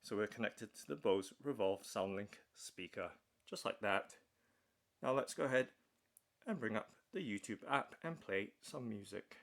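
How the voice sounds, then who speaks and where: A man presenting a hands-on gadget unboxing in a measured, matter-of-fact, step-by-step way. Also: lowish voice. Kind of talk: hands-on product demonstration narration.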